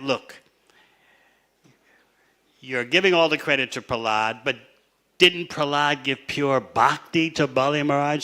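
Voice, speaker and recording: A man teaching a scripture class, telling the story in a measured way and laying out his points one by one. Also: medium pitch, sharp tone. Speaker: spiritual teacher.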